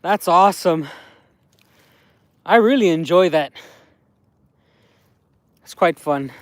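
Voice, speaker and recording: Brief: Mexican American man from LA, casual streamer, higher voice, smooth tone, dry close sound on a phone. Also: animated, slow, no echo. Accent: Mexican American, Los Angeles. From Los Angeles, San Fernando Valley. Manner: chatty, thanks viewers by name. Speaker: livestream vlogger. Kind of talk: casual livestream chat. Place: outdoors by a mountain slope.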